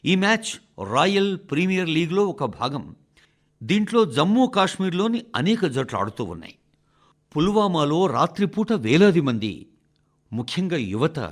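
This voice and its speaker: A man reading a scripted, formal radio address in speech, phrasing it in a measured, emphatic way with deliberate pauses. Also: fairly high pitch. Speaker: radio reader of a translated address.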